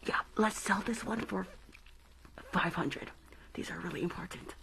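A shady, impatient female dentist, acted out by a woman speaks in breathy whispers for an ASMR roleplay. She mutters bossy orders and repeats herself in a hurry, gleeful about her crooked work. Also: fairly low pitch, unhurried. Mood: greedy delight. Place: small indoor room, livestream setup.

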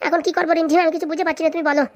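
A woman voicing a harried, scheming young man in a comic cartoon, wheedling and excitable. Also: very high voice, racing. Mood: sheepish, wheedling.

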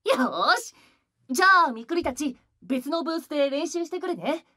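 A man's voice: extremely high pitch, lively intonation, normal speed, pushed and bright, no echo, studio quality, full character voice. A man playing a sweet, cutesy, girlish young male idol in a voice drama, bubbly in manner. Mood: cheerful. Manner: cute, bubbly, refers to self by name. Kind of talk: Japanese voice drama acting.

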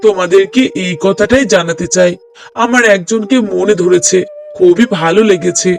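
A man's voice: sits high, normal speed, vocal fry, a little mellow. A man playing an earnest, respectful young bachelor son in a cartoon drama, speaking politely. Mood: earnest, hopeful.

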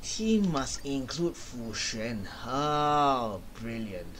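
Slow-paced young man streaming over noise. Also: mid-range voice, lively intonation, fairly bright tone. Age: young adult. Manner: halting, thinking aloud. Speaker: game streamer. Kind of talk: live gameplay commentary with reading aloud. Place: small indoor room.

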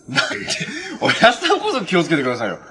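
An actor playing a cheerful, gentle young adventurer hero in a live-action TV drama, speaking in a friendly, earnest, casually polite way. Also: male voice, a little high, huge pitch swings, fast talker, full character voice. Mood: friendly, bright. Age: young adult. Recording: faint background noise on TV dialogue.

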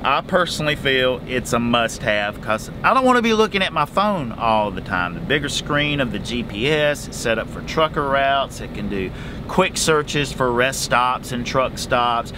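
A man's voice: mid-range voice, normal speed, background noise. A man, giving folksy casual advice as a truck driver vlogger from his sleeper cab. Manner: chatty, folksy, animated hand gestures. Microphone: camera in front at close range.

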